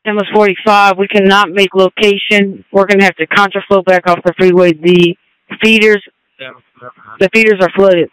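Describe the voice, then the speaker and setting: A man's voice: high-pitched, level tone, moderate pace, fairly bright tone, clean tone, dry recording, poor audio. A man working as an emergency responder, making terse reports over two-way radio. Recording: fire department two-way radio traffic.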